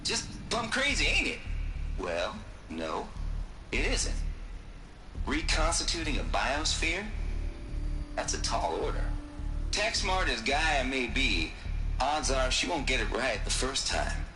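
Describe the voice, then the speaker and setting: An irreverent tech man in a game, presenting like a wry showman with colloquial swagger. His mid-pitched voice rings in a roomy echo over clear music. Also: huge pitch swings, slow, long pauses. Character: irreverent folksy tech expert presenting an extinction protocol. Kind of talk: dramatic game monologue, recorded briefing.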